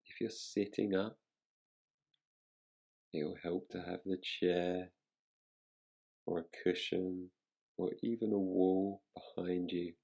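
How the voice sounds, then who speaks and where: Slow, deep-voiced man guiding meditation. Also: little pitch movement, vocal fry, warm tone. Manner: soothing, unhurried, with long pauses. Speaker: meditation teacher. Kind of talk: guided relaxation meditation.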